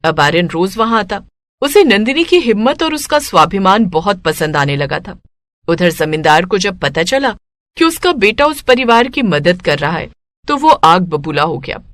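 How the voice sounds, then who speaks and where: Female narrator, moral story, scripted, mid pitch, very animated intonation, dry close sound. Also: moderate pace, no room sound.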